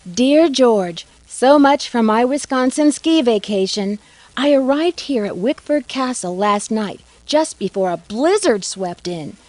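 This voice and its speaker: A woman voicing a plucky, curious young detective in a video game, with a clear, earnest storytelling delivery. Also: a little high, animated, measured pace, a little mellow, poor audio. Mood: curious, slightly uneasy. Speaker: game protagonist. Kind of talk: letter narration and game dialogue acting.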